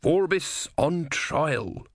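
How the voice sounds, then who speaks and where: An insistent young-hearted soldier played by a man, eager and calculating. His speech is lively and raised a little, as a storybook character. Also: mid-range voice, a little slow, dry recording, studio quality, pitched above the performer's own voice. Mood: musing, calculating. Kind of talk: audiobook character voice.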